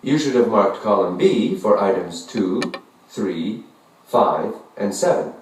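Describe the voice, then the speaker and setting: Man, scripted lesson narrator; slow, lively intonation, echoey distant recording. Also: lowish voice, slight background noise. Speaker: textbook audio narrator. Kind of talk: scripted language-lesson instructions. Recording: echoey, distant textbook audio.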